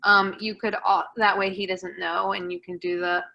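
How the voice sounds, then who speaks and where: A woman's voice: medium pitch, level tone, normal speed, gravelly, lo-fi sound. A woman leading a casual group chat, giving encouraging advice. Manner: encouraging, advising.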